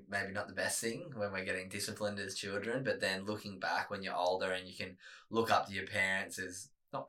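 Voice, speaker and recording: Man, podcast host, very deep, bright tone, long affirming questions, distant mic. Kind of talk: casual interview questions. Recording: voice set back from the mic.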